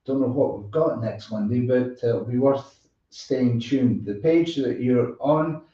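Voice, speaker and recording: Mellow male host in an echoey room. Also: mid-range voice, a little slow. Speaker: music show host. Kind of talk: casual live online show hosting. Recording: muffled, echoey, far from mic.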